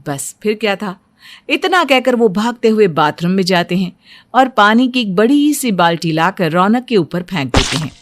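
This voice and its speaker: A woman's voice: mid-range voice, expressive, moderate pace. A woman narrating a scripted moral story for a cartoon, in storytelling style.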